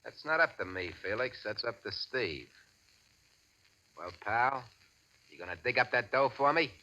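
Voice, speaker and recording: An actor playing a ruthless, impatient gang boss in 1940s radio crime drama style, commanding and sarcastic with a mock-friendly menace. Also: male voice, medium pitch, animated, a little slow, pushed and bright. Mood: mockingly menacing. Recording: dull old radio broadcast recording.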